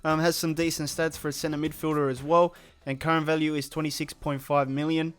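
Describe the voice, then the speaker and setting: A man's voice: on the high side, little pitch movement, a little fast, clear, resonant tone. A man hosting a gaming channel with a slight sore throat, running through update stats in a casual, matter-of-fact way. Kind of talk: video game update rundown commentary.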